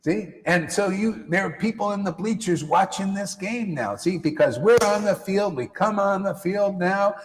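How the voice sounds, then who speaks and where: A man giving a lively spiritual talk to a live audience, slangy and repetitive, often calling listeners "man". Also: sits high, moderate pace, few pauses, in a large hall, poor audio. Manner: animated, slangy, repeats phrases, calls listeners "man". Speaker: spiritual teacher. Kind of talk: casual live-stream talk.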